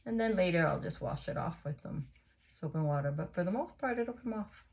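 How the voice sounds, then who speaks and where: A woman hosting a casual craft tutorial, chatting through each step and a little hoarse and sniffly. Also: fairly low pitch, expressive, a little fast, darkish tone. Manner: chatty, narrates each step, gushes over results. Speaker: craft video host.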